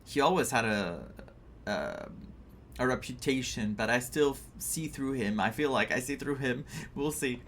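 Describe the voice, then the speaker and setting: A man giving casual, reactive playthrough commentary, musing in a halting way as he goes. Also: medium pitch, a bit bright, slight background noise. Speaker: gaming streamer.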